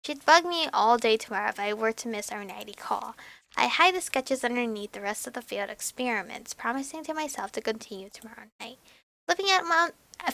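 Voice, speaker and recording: A young woman reading a visual novel aloud as a let's player, expressive and animated, with music behind her. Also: fairly high pitch, gravelly.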